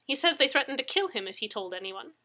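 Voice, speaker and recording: A woman's voice: sits high, big pitch swings, fast, sharp tone, voice pushed up, full character voice. A woman voicing a kindly, motherly older neighbor woman in an audiobook story, fussing and emphatic. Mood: urgent, insistent.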